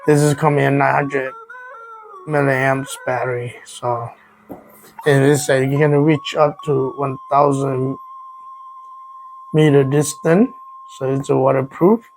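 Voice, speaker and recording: A man who is a native Vietnamese speaker gives a casual, unscripted product unboxing, haltingly searching for words. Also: mid-range voice, fairly even intonation, slight background noise, low-quality recording.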